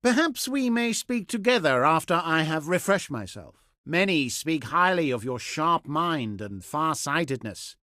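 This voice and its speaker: An actor playing a pious, cultured and condescending Etruscan envoy in a video game, with formal, pompous oratory. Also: male voice, a little high, very animated, measured pace, hardly any pauses, pushed and bright, no room sound, clean studio sound, heavily acted. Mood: courteous, self-important. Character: haughty, cultured Etruscan diplomat, pious, omen-reading, condescending man. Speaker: diplomat envoy in a strategy game. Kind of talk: theatrical video game voice lines.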